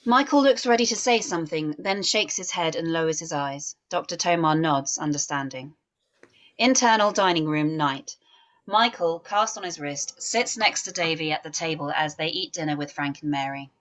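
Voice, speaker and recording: A woman narrating stage directions in a script table read, measured and descriptive. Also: deep voice, lively intonation, fairly bright tone.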